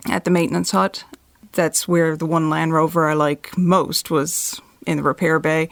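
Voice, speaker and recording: A woman telling her account in a slightly low voice, very close and dry. She goes slowly and haltingly, searching for words, like natural testimony in an audio drama. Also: no echo. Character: grandchild recounting a night drive, giving testimony.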